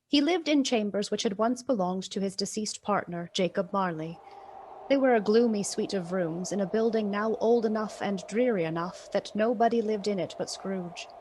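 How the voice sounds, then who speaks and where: A woman narrating a radio play as a storyteller, deliberate, suspenseful and emphatic. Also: medium pitch, darkish tone, a little hiss or hum, lightly acted. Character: storyteller of a gloomy Victorian ghost tale. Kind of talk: scripted dramatic storybook narration.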